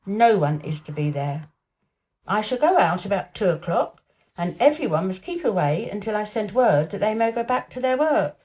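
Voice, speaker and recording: A woman's voice: mid-range voice, big pitch swings, normal speed, a little mellow, lightly acted. A woman voicing a lordly young invalid boy in a storybook, self-important and precise, with a dreamy streak. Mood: lordly, commanding.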